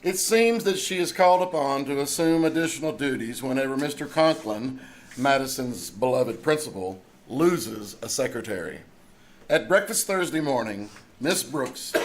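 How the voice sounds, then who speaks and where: A man's voice: medium pitch, expressive, a little slow. A man announcing a scripted comedy show in the style of old-time radio, on a theater stage. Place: theater stage before a live audience. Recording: live stage recording, some background noise.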